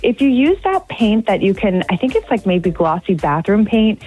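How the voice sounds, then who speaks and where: A woman's voice: medium pitch, big pitch swings, normal speed, a little mellow, faint music behind, rough recording. A woman calling in to a radio show, giving an earnest, rambling opinion. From Pacific Northwest. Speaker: caller. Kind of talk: phone-in opinion.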